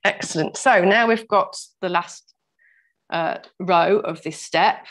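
Croaky-voiced woman teaching weaving. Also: on the low side. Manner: patient, explains slowly, laughs at own mistakes. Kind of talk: step-by-step craft demonstration. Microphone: laptop mic over video call.

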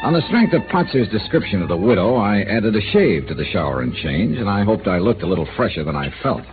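Wry hard-boiled investigator. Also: male voice, lowish voice, sing-song, fast. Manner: dry, wry, understated. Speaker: narrator and lead. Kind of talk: 1950s radio drama narration. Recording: old lo-fi radio broadcast with music.

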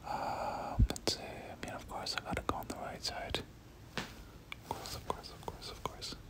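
A gaming creator giving ASMR game commentary in a breathy whisper, often repeating words in soft rhythmic runs. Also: unhurried.

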